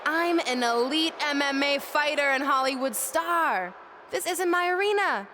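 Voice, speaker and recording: A woman's voice: high-pitched, expressive, unhurried, sharp tone, full character voice. A woman playing a haughty MMA fighter and Hollywood star in a wrestling game, condescending and self-important, with noise behind her. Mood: contemptuous. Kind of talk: wrestling video game promo acting. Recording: clear background noise.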